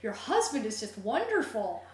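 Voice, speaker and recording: A woman's voice: a little high, very animated, moderate pace. A middle-aged woman chatting casually, telling stories and explaining things at length. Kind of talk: casual chat. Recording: echoey, distant mic, faint noise and hum.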